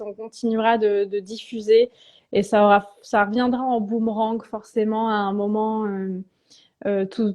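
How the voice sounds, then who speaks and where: A woman in her thirties, a guest business coach, talking reflectively in a casual live-stream conversation, often pausing and restarting her sentences. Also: mid-range voice, dark tone. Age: 30s. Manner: reflective, halting, many restarts and fillers.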